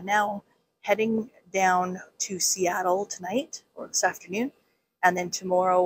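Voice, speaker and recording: A woman's voice: on the low side, rough recording. A woman in her fifties chatting casually in a vlog, with many ums and restarts, filming herself outdoors at arm's length with her phone. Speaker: vlogger. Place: outdoors on a city pier.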